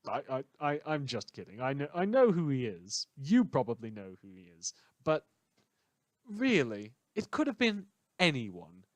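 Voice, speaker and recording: A man playing a cynical, misanthropic radio host in a post-apocalyptic fan audio drama, speaking sardonically with dramatic, halting pauses. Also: on the high side, no room sound. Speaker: fictional radio show host.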